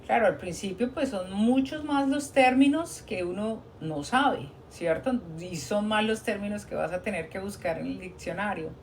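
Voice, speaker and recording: A woman's voice: medium pitch, lively intonation, normal speed, hardly any pauses, a little mellow, slight background noise. A Colombian woman, a nurse working abroad, giving earnest, encouraging advice in a casual live stream chat, often restarting her sentences.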